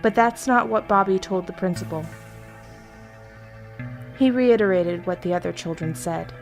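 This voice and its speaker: A woman narrating a scripted horror story in a measured, deliberate way with pauses. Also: mid-range voice. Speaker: story reader. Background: music.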